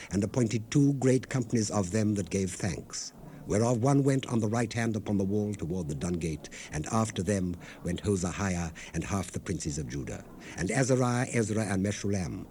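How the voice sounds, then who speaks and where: A man narrating the Bible in a solemn, formal, measured way, letting his phrases fall away at the end. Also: sits low, dark tone. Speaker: scripture narrator. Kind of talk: solemn dramatized Bible reading. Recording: hissy 1970s cassette rip.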